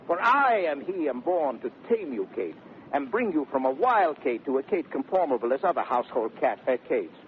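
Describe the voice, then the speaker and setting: Swaggering suitor played by a male actor, vintage radio Shakespeare: lively mock-sweet declamation, faint noise on the recording. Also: mid-range voice, normal speed, natural voice. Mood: exuberant, insistent. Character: brash, swaggering, teasing gentleman wooer. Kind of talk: 1930s radio Shakespeare acting. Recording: tinny, noisy 1930s radio recording.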